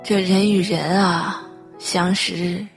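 A woman acting a frail, ailing mother in a Chinese radio drama, gentle and weary, with a breathy delivery. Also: on the low side, level tone, slow pace, soft background music. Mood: tired, kindly.

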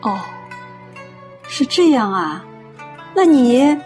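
An actress playing a gentle, middle-aged believer in a radio drama, earnestly trying to persuade. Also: female voice, a little high, big pitch swings, extremely slow, soft background music, heavily acted. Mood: surprised.

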